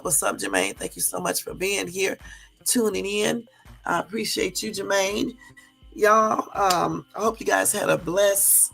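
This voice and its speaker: A woman hosting a casual livestream, hoarse from a cold, chatty and often checking in with her listeners. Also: mid-range voice, a bit bright. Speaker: livestream host.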